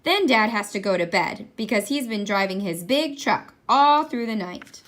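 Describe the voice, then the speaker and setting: A woman reading a children's picture book aloud in an expressive storytelling style. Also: medium pitch, normal speed. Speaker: read-aloud reader.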